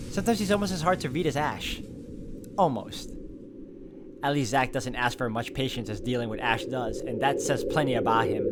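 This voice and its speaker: A man's voice: mid-range voice, heavy background noise. A man hosting a gameplay video, reading visual novel narration aloud as a story.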